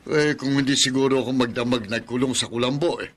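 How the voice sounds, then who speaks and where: A man's voice: mid-range voice, quick pace, natural voice. An actor playing a worried rural father in a radio drama, talking plainly with hesitant fillers.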